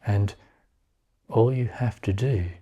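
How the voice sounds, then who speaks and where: A man guiding a meditation in a calm, unhurried manner, leaving long pauses between short phrases. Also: fairly low pitch, breathy edge. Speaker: meditation guide. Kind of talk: guided meditation.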